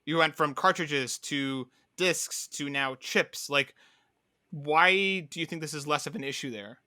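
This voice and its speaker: A man's voice: a little high, unhurried, bright, forceful tone, no room sound, studio quality. A man hosting a podcast, talking casually and inquisitively, often checking that others follow him. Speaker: podcast host. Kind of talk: casual discussion, posing questions.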